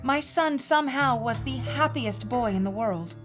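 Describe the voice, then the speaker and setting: A woman playing a haunted mother in her forties, telling a first-person horror story in a measured, earnest, confiding way. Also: a little high, natural voice. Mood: sober, confiding. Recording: music bed under the voice.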